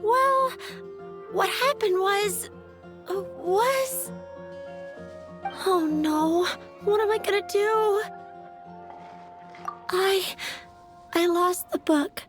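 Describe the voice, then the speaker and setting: A woman's voice: very high voice, very slow, long pauses, music in the background, exaggerated voice. A woman playing a timid, earnest young flower seller in a video game, sweet and easily flustered. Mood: flustered, worried.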